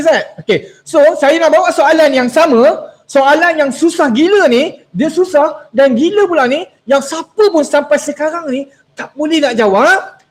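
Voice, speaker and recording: Guy w a really high voice running an online class on a video call, jokey n teasing, mixes in english. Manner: playful, teasing, slips into English. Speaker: workshop speaker. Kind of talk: lively online workshop talk.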